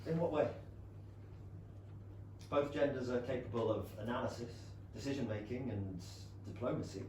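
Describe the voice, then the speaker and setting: A very reverberant, distant theatre recording with faint noise carries an actor as a reserved young Regency gentleman. He talks in a measured, formal way, dry and earnest in his progressive views. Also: medium pitch, close to an everyday voice. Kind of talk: staged reading of a period comedy play. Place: small theatre stage, captured from a distance.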